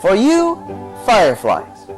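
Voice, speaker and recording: A man's voice: sits high, wildly swooping pitch, slow, faint music behind, pitched above the performer's own voice, heavily acted, rough recording. A man voicing a dreamy, imaginative young orphan girl in a storybook, full of delighted wonder. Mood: proud, sweet.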